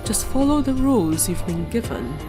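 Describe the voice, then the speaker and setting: A woman's voice: mid-range voice, very animated, moderate pace, music in the background, close to an everyday voice. A woman voicing a cold, haughty teenage male student in a game, condescending and dismissive.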